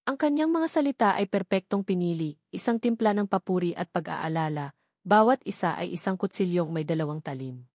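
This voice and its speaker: A woman's voice: on the low side, expressive, fairly quick, darkish tone, no echo, studio quality. A woman reading a scripted dramatic story as a storyteller.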